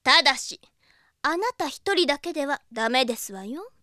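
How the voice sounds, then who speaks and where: A woman's voice: high voice, animated, moderate pace, sharp tone, no room sound, studio quality. An actress playing a haughty rich young lady in an anime audio drama, with pompous, ornately polite speech. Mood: businesslike, superior. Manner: pompous, mannered ojou-sama politeness.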